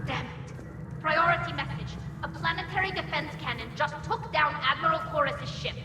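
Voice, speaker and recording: A woman's voice: sits high, moderate pace, over music, natural voice. A woman playing a capable, earnest young alien engineer and admiral in a video game. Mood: urgent. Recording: roomy reverb, distant, noisy battle ambience.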